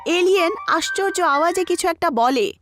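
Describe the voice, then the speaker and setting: A woman narrates a scripted children's tale in a high, lively voice. Her pitch moves a great deal from phrase to phrase. Music plays clearly behind her. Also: moderate pace.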